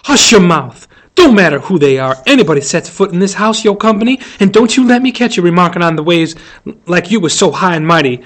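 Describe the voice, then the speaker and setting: A man's voice: high voice, lively intonation, fairly quick, pitched above the performer's own voice. A man voicing a fierce, scolding housekeeper woman for a storybook, stern and emphatic, with folksy speech.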